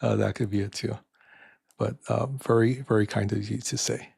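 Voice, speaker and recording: An older man with a raspy voice hosting a live stream in casual chat, soft-spoken and halting with many fillers. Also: lowish voice, fairly even intonation, no echo, studio quality.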